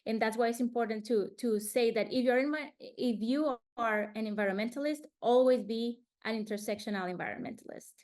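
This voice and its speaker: Woman, earnest webinar presenter, slightly high voice, video call audio. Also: a little fast, few pauses, darkish tone. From Colombia. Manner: earnest, restarts words often.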